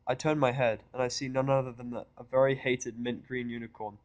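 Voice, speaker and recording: A man's voice: medium pitch, level tone, brisk, no echo, highs cut off. A man narrating first-person fiction with a sardonic, irritable delivery. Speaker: audiobook narrator.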